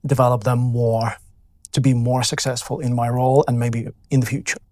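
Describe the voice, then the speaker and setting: A man's voice: mid-range voice, level tone, brisk, dark tone, no echo, clean studio sound. A man interviewing a guest, asking questions from a little away from the mic and murmuring agreement. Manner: brief backchannel murmurs, questions slightly off-mic.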